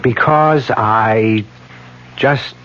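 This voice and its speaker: Brief: weary cop and husband, low-key, old radio sound. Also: male voice, extremely slow, fairly bright tone. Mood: resigned. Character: weary male police detective, quietly loyal husband. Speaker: police detective. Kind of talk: 1970s radio drama acting. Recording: dull lo-fi 1970s radio recording.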